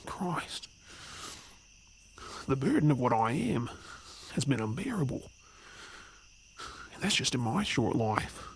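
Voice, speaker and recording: A man with an Australian accent playing a burdened, wary young werewolf in an ASMR audio drama, talking casually and haltingly. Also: medium pitch, very animated, slight background noise. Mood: troubled, bewildered.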